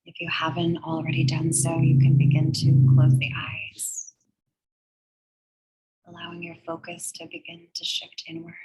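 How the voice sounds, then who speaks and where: A woman leading a guided meditation in a soothing, measured, breathy way, leaving long pauses between phrases. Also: low-pitched, completely flat delivery, mellow, soft background music, poor audio. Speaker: guided meditation and yoga teacher.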